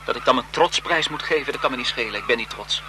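An actor playing a lovesick, desperate photographer in a melodramatic, impassioned radio thriller style. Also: male voice, mid-range voice, fast, natural voice. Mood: earnest, devoted. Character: lovesick, desperate male photographer turning bitter and vengeful. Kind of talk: 1970s Dutch radio thriller acting. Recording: noisy 1970s radio drama broadcast.

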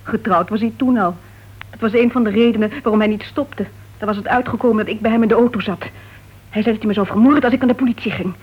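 A woman's voice: a little high, fast, breathy edge. An actress playing a bitter, guarded woman with a painful past in a Dutch radio play, speaking in a terse, weary, restrained manner. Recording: dull, muffled old radio recording.

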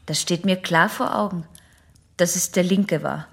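An actress playing a reluctant, wistful young patient in an intimate radio drama, with a husky, breathy voice. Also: female voice, on the low side, a little slow, full character voice. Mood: reflective, hesitant.

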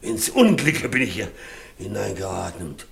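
An actor playing an embittered, blunt older farmhand, grumbling in a radio drama. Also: male voice, lowish voice, very animated, normal speed, exaggerated voice. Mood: bitter indignation. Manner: gruff, grumbling, rustic. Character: embittered aging farmhand, coachman, indignant and blunt. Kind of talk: naturalistic radio drama acting.

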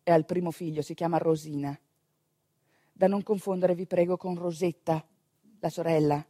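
A woman's voice: lowish voice, steady tone, normal speed, dark tone, no room sound, studio quality. A woman telling a theatrical story, with conversational asides to her listeners. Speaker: stage actress narrating a monologue.

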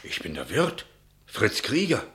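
A man's voice: fairly low pitch, wildly swooping pitch, measured pace, a bit bright, exaggerated voice. An actor playing an anxious, talkative innkeeper in a German radio play, telling his account dramatically and deferentially. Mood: shaken, recounting. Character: anxious, talkative male innkeeper giving testimony.

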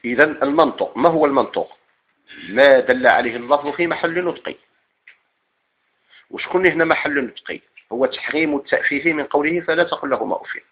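A man's voice: a little high, fairly quick, muffled recording. A man with a Moroccan Arabic accent teaching a class on legal theory, quizzing students with repeated questions and then answering them himself. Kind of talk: classroom lecture.

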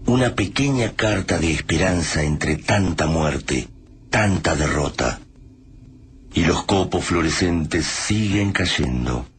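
Deep-voiced solemn male radio drama narrator. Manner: solemn, deliberate. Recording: radio drama with noisy scene effects.

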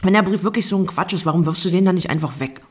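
A woman's voice: lowish voice, very fast, a little hiss or hum, close to an everyday voice. An actress playing a blunt, weary young working woman in a radio drama, colloquial and no-nonsense. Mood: fed up. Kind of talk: German radio drama acting.

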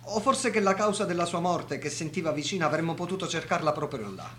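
Radio drama: earnest man, rapid delivery, expressive melody, slight hum behind. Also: a little high. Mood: earnest, questioning. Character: earnest men around a doctor: brother, friend, colleague. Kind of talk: radio drama monologue and dialogue. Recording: faint hum and noise.

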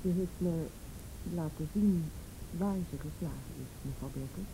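An actress playing a calm, insistent juvenile police officer in a radio drama, speaking formally and with measured persistence. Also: female voice, vocal fry. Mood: grave, measured. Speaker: actor in a radio play. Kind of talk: Dutch radio drama acting. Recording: rumbly, noisy old radio recording.

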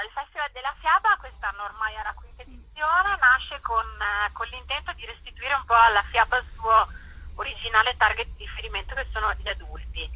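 Bright, husky-voiced woman being interviewed. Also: fairly high pitch, rough recording. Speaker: festival director being interviewed. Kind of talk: conversational radio interview.

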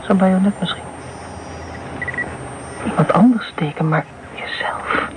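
An actor playing a hushed, dark-minded questioner. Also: medium pitch, unhurried, noisy background, close to an everyday voice. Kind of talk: radio drama within a radio broadcast.

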